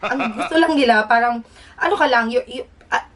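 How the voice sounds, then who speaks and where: High-voiced Filipino woman, chatty, off-mic. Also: brisk, distant mic, background noise. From Philippines. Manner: chatty, informal, jokey asides. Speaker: vlogger, air traffic employee. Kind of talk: casual explainer vlog, Taglish.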